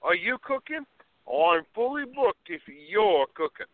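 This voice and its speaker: An actor playing a playful, teasing schoolteacher with a droll, theatrical manner in a radio play. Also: male voice, high voice, very animated, unhurried, no echo. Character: playful bearded schoolteacher, a woman's pretend boyfriend. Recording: narrow phone-like sound.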